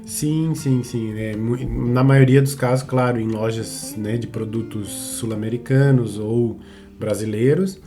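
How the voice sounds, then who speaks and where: A man co-hosting a casual podcast, talking haltingly with frequent restarts and tag questions. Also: medium pitch, steady tone, mellow, faint music behind. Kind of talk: casual conversational podcast chat.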